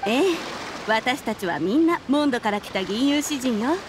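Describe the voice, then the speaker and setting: A woman's voice: fairly high pitch, lively intonation, moderate pace, background noise, lightly acted. A woman voicing a cheerful travelling bard in an anime game. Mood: hopeful. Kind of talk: Japanese anime game voice acting.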